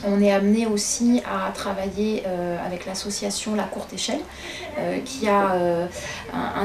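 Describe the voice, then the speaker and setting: A woman explaining her work in an interview, hesitating often as she searches for words. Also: mid-range voice. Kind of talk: interview answer. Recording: distant, echoey room with noise.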